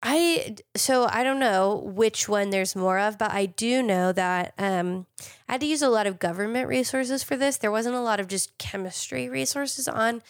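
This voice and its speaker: A woman chemist hosting a casual podcast, slightly nasal from a cold, upbeat and quick to laugh. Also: fairly high pitch, no room sound, studio quality. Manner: laughs often, upbeat and chatty.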